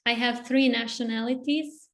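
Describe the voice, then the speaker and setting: A woman's voice: on the high side, steady tone, normal speed, fairly bright tone. A young woman from Bosnia with a Bosnian accent, a novelist speaking earnestly on a panel over a video call. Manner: earnest, personal, fairly level delivery. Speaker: author on a panel.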